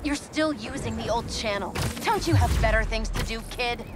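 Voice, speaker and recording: A woman's voice: fairly high pitch, moderate pace, loud music behind the voice, natural voice. A woman playing a cocky, flippant cat burglar who teases and taunts in a video game. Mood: defiant. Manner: flippant, teasing.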